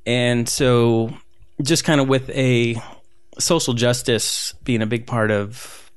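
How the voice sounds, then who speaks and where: A man's voice: medium pitch, steady tone, slow pace, smooth, dry recording, clean studio sound. A man who grew up in Southern California, talking casually as a guest with many fillers and restarts. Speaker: street photographer guest. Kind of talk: casual interview conversation.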